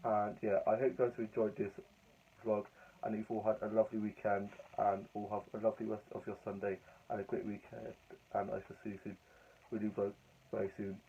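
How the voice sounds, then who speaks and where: Hoarse, chatty man on a tinny line. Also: on the low side, little pitch movement, brisk, mellow, a little hiss or hum. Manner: chatty, rambling, upbeat. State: hoarse and croaky from a cold. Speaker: vlogger. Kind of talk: casual vlog chat. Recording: tinny phone-like audio.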